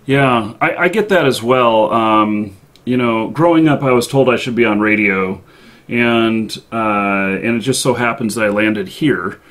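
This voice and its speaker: A man with a soothing voice hosting a casual interview, talking in a hesitant, halting way. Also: lowish voice, fairly bright tone. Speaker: show host interviewing guests. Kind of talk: casual chat.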